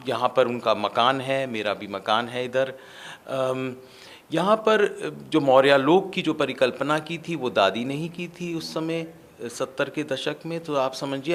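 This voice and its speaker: A man's voice: medium pitch, fairly bright tone. A man from India answering interview questions as a political candidate, speaking earnestly with fillers and restarts. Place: his family home.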